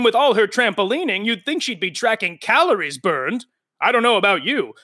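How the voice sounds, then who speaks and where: A man's voice: extremely high pitch, pushed and bright, full character voice. A man playing a flippant, gossipy backstage commentator in a comic audio drama, chatty and campy.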